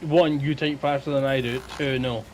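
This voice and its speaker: Casual man over clear background noise. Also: medium pitch, steady tone, normal speed, a little mellow, rough recording. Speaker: podcast co-host and editor. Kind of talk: casual chat.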